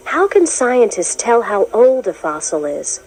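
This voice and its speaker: A woman reading a children's science book as scripted narration, in a clear, expressive storytelling manner. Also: a little high, measured pace, soft background music, rough recording. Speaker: narrator of a children's educational read-along.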